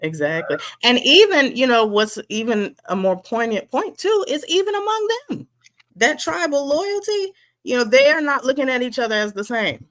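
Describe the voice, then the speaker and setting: A woman's voice: sits high, big pitch swings, moderate pace, fairly bright tone. A woman speaking as a guest in a passionate way, now and then mocking the voices of others. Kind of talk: passionate talk.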